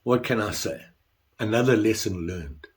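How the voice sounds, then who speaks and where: Older guy with a stuffy nose from the flu, lowish voice, rambly and laughing at himself. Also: animated, normal speed, a little hiss or hum. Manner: self-deprecating, rambling with restarts. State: congested, getting over the flu. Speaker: leatherwork vlogger. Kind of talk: casual workshop chat.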